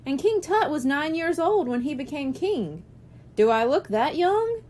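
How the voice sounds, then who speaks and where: A woman plays a cheerful male first-grade teacher from a children's audiobook, pitched high with lively swings. The teacher explains things patiently and likes to tease playfully. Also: unhurried. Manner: explanatory, teasing. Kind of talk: children's audiobook character reading.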